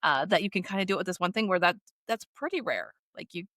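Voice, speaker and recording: A woman's voice: lowish voice, animated, fast, a bit bright, no room sound, clean studio sound. A woman co-hosting a podcast, talking casually and conversationally. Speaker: podcast co-host.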